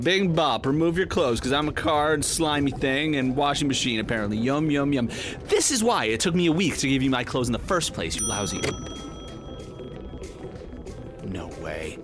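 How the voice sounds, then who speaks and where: An actor playing a prickly, sarcastic, jittery male detective in a noir audio drama. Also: a little high. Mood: exasperated. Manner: sarcastic, grumbling, flustered.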